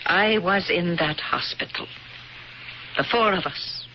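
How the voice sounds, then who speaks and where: Vengeful survivor, measured and cold, fairly low voice for a woman, vintage radio sound. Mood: icily accusing. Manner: measured, icy, deliberate. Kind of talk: 1970s radio drama acting. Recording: dull old radio broadcast with hiss.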